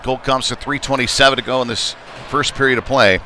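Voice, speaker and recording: A man's voice: mid-range voice, brisk. A man doing live play-by-play commentary of an ice hockey game in a casual, unpolished, conversational way. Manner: excited calls when play heats up. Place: indoor ice rink. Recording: noisy live rink stream, clear background noise. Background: rink ambience and noise.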